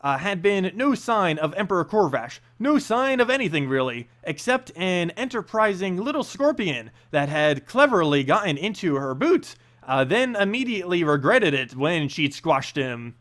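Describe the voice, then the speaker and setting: A man narrating a fantasy story aloud in an expressive, dramatic storybook style. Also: high voice, normal speed, hardly any pauses. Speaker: narrator and channel host.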